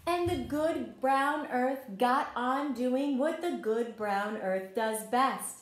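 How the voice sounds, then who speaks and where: A woman teacher reading a picture book aloud to young children, expressive and encouraging, often turning to the listeners with questions. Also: sits high, takes it slowly. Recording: roomy, echoing room sound.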